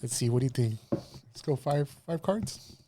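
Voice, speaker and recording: A man's voice: mid-range voice, lively intonation, normal speed, heavy background noise. A man hosting a casual podcast, laid-back, mostly giving short acknowledgments and asking questions. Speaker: podcast host.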